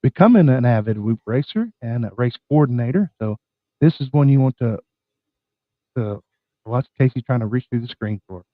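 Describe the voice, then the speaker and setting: A man with a scratchy, bronchitis-worn voice, casually hosting a live stream chat in a folksy, halting way. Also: medium pitch, lively intonation, no echo, phone-quality sound.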